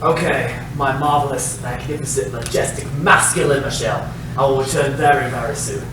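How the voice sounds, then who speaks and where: A man's voice: mid-range voice, moderate pace, lightly acted. A man playing a naive, lovestruck stage lover in a hammy, earnest comic reading. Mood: smug, adoring. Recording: echoey room from far off, faint noise.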